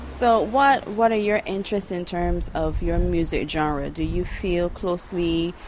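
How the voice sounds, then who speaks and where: A woman's voice: lowish voice, unhurried, darkish tone, a little hiss or hum. A woman interviewing an artist for a magazine, upbeat and affirming. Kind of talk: casual interview. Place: outdoor arts event.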